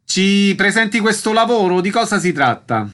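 A man's voice: high-pitched, big pitch swings, normal speed, a bit bright. A man presenting listings and putting questions as a radio interviewer.

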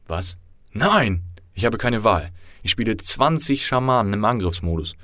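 Mann spielt einen theatralischen Kartenspieler, etwas tief, trocken. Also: male voice, fairly low pitch, animated, normal speed, bright, forceful tone, no echo, clean studio sound. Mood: dramatic. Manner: overwrought, theatrical. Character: dramatic male card-game finalist.

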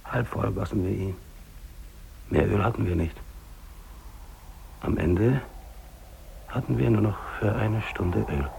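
A man's voice: fairly low pitch, slow pace, vocal fry, long pauses, mellow, a little hiss or hum, heavily acted, poor audio. A male actor playing a lone, dying English polar explorer in a 1950s German radio drama monologue, theatrical, defiant and despairing, moving between muttering and declaiming. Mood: exhausted, resigned.

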